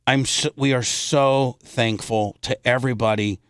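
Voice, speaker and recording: A man's voice: mid-range voice, fairly even intonation, a little slow, fairly bright tone, clear, resonant tone, no echo, clean studio sound. A man hosting a radio show with unscripted talk, blunt and salty in the way he speaks.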